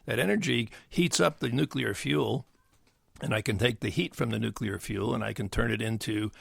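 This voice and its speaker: An older man with a bass voice, explaining as an interview guest in a halting way with many fillers and restarts. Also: no echo. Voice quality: gravelly bass voice. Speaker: guest, nuclear engineer. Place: dry podcast studio. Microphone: studio mic.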